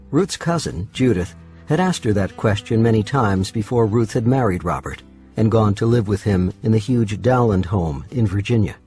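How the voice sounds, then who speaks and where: A man's voice: lowish voice, a little mellow. A man narrating fiction from a script as an audiobook reader, recorded in a studio. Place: dry studio.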